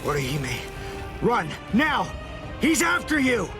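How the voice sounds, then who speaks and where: An actor playing an earnest, intense and protective young warrior in an anime game. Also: male voice, extremely high pitch, wildly swooping pitch, slow, full character voice. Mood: urgent. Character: earnest, analytical young male archer-warrior, protective and indignant. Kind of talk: anime video game voice acting. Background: music.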